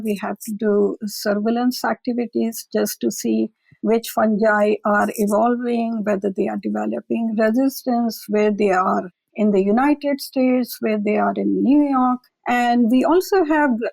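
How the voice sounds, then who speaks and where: A woman, a lab director and guest on an interview podcast, explaining her scientific work in a measured, conversational way. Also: a little high, gravelly, darkish tone, dry recording, rough recording. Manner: measured, explanatory, occasional fillers.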